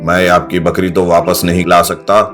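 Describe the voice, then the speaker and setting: A man voicing an indulgent, measured wealthy landlord father in a storybook tale. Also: low voice, little pitch movement, fairly bright tone, faint music behind, pitched below the performer's own voice. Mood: placating. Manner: placating, measured.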